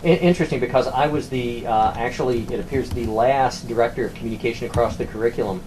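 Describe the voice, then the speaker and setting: A man talking through administrative matters on a conference call, with hesitant, measured phrasing, like a university academic. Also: medium pitch, a little mellow, poor audio. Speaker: university academic, former program director. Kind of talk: work discussion on a conference call. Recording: conference call with clear background noise.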